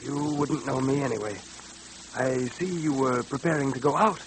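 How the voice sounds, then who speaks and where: An actor playing a mysterious caped man in an old radio drama, theatrical, formally courteous and quietly menacing. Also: medium pitch, moderate pace, natural voice. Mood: silky threat. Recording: noisy old radio recording, hum.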